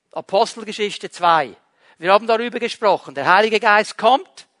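A man's voice: sits high, fairly bright tone, dry recording. A man teaching a Bible passage, repeating key points and speaking straight to the listener. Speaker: preacher.

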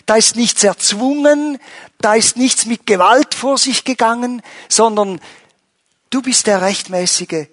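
A man preaching a sermon to his congregation, rising in pitch and volume when he stresses a point. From Switzerland. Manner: animated, rises in pitch and volume for emphasis. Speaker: pastor.

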